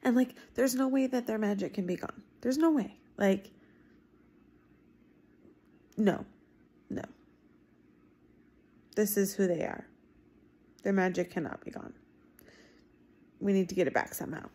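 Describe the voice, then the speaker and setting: A woman in her thirties chatting casually and emphatically to her phone at home, reacting in an animated, informal way. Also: mid-range voice, unhurried, pauses often, slightly rough. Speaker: book vlogger giving reading reactions. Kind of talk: casual reaction chat to camera. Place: small room at home, indoors. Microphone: phone at arm's length.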